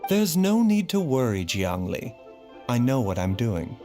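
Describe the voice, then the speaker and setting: A man playing a calm, courteous, self-sacrificing doctor in video game dialogue, with a polite, composed and reassuring manner. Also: medium pitch, unhurried. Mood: gently resolute. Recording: background music under dialogue.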